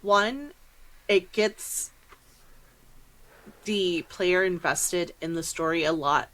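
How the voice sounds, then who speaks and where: A woman's voice: fairly low pitch, slow pace, fairly bright tone, low-quality recording. A woman hosting a podcast interview in an informal way, thinking aloud in broken phrases. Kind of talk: casual chat, interviewing.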